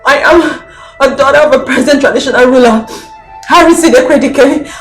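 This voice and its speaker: A terrified Nigerian captive played by an actress begs in a high voice, stammering and repeating herself like a woman in a melodramatic film. The sound is echoey and off-mic, with music underneath. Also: a little fast, far from the mic, close to an everyday voice. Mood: frantic pleading. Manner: begging, stammering, repetitive. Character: terrified pleading captive woman, wealthy politician.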